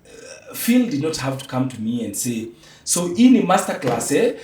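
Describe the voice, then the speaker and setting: A man's voice: medium pitch, sing-song, normal speed, a little mellow. Kenyan man, roomy and slightly distant recording. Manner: emphatic, philosophical, repeats phrases for emphasis. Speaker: podcast guest, theatre practitioner. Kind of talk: reflective conversation, switching between English and Swahili. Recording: roomy, echoey, distant from mic.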